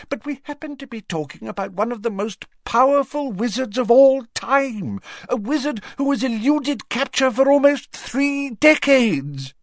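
A man's voice: a little high, no room sound, studio quality, pitched above the performer's own voice. A man voicing a flustered, defensive and weary older government minister in an audiobook, with agitated, emphatic delivery.

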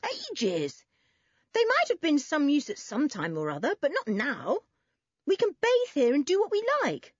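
A woman puts on a breezy, short-tempered boy from a children's adventure. He is cocky and insistent, pitched high with lively swoops and a slightly husky tone. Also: normal speed, no room sound, dull audio, full character voice. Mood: cross.

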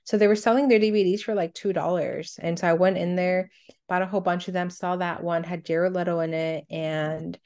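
A woman's voice: fairly low pitch, steady tone, brisk, a little mellow. A woman co-hosting a casual countdown show, reading out entries and reminiscing in a conversational way. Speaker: co-host of a cartoon countdown show.

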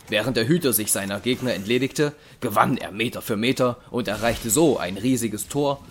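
A man's voice: medium pitch, noisy background. A man giving scripted narration for a science fiction audio drama. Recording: music behind the voice.

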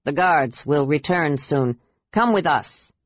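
Knight, read by a woman: male, commanding and cold, low pitch, husky, storybook fantasy. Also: animated, slow, no room sound, lo-fi sound, heavily acted. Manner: curt, cold.

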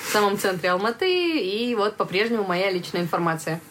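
A woman's voice: mid-range voice, brisk, a bit bright. A woman in her early twenties hosting a casual podcast chat, with faint noise behind her. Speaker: podcast host.

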